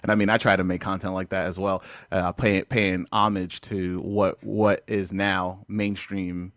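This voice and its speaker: A man's voice: low voice, level tone, measured pace, a bit bright, no echo, studio quality. A man hosting a podcast in casual chat, laid-back and stumbling as he reads aloud. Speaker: podcast host.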